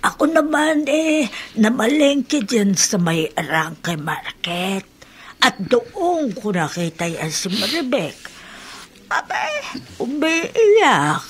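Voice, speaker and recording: A woman's voice: a little high, breathy edge, exaggerated voice. An actress playing a kindly, talkative older working woman in a Filipino radio drama, with a folksy, hesitant storytelling manner.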